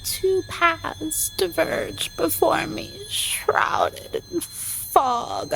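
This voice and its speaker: A woman playing an anguished mystical navigator in a radio play, wailing and drawn-out in her delivery. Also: extremely high pitch, vocal fry, fairly bright tone, slight background noise, heavily acted, rough recording. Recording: music behind the voice.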